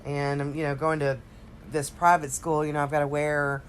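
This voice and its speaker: A woman with a very low, throaty voice, slightly stuffed up from a cold. She co-hosts a casual recap podcast and rambles along with pauses and hesitations. There is some steady noise behind her. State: recovering from a cold, slightly congested. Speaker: podcast co-host.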